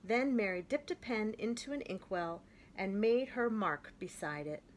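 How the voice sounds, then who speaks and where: Gentle, expressive woman reading a picture book. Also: mid-range voice, moderate pace, slight background noise. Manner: gentle, expressive, clear storytelling. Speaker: children's story reader.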